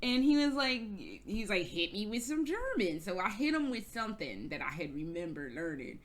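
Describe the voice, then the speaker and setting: A woman's voice: medium pitch, sing-song, normal speed. Casting: American woman vlogger, casual and rambling, swears freely, lots of "like"s, restarts sentences. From United States. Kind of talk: casual storytelling vlog. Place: indoors, travelling in Germany.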